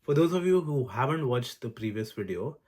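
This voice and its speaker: A man in his twenties, from India, giving earnest, casual advice to camera. He lists things one by one and fills pauses with frequent ums. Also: mid-range voice, big pitch swings, a little mellow, husky. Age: 20s. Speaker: vlogger sharing advice. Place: room with awards on display.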